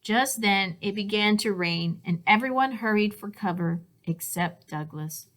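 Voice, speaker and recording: Slow-paced woman reading a children's storybook. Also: medium pitch, fairly bright tone. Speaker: storybook reader. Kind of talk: scripted children's storybook narration.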